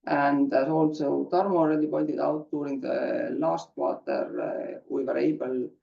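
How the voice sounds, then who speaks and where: A man presenting financial results on an earnings call as a finance executive, haltingly and with frequent filler sounds, over muffled, roomy call audio. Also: on the high side, fairly even intonation, gravelly. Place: conference call.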